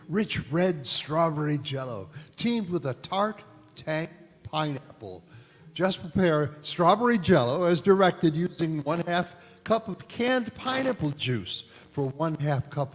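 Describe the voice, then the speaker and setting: A man's voice: a little high, animated, measured pace. A man playing a hearty, emphatic 1940s radio announcer, selling and narrating in polished, folksy style on stage. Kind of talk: old-time radio ad and narration. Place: large indoor hall, live stage performance. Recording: reverberant church hall stage recording.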